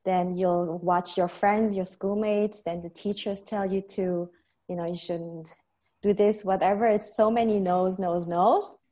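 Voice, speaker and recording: A woman's voice: mid-range voice, steady tone, unhurried, dark tone. A woman speaking as a panel guest, explaining her views in a thoughtful way. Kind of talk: casual panel chat.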